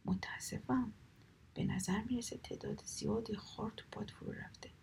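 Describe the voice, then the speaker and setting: A woman voicing a sly, clever male raven in a soft whisper. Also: medium pitch, steady tone, creaky.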